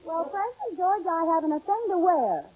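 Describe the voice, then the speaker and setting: A woman playing a flustered, dawdling housewife with quick exasperated retorts in a 1940s radio comedy. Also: very high-pitched, sing-song, heavy background noise. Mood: defensive, teasing. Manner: exasperated, playfully argumentative. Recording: dull old radio recording.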